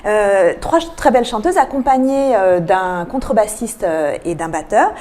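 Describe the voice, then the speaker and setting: Woman, arts programmer as radio guest. Soft-edged mellow tone, explanatory, hesitant delivery. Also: medium pitch, animated, moderate pace. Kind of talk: radio interview, presenting a cultural programme. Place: radio interview.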